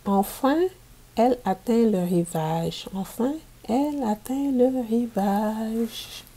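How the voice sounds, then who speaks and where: A woman reading a picture book aloud to children as a teacher, in a deliberate manner made for learners. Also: a little high, dark tone. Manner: deliberate, repeats sentences for learners.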